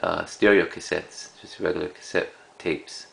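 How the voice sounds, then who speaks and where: A man giving a casual, unscripted show-and-tell of a collection in a halting, rambling way. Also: sits low, level tone, gravelly. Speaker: cassette collector presenting a collection.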